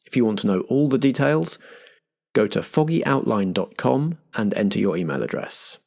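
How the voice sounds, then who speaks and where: A man's voice: mid-range voice, animated, moderate pace, creaky, a little mellow. A man hosting a roleplay podcast and narrating as game master. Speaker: game master and show host.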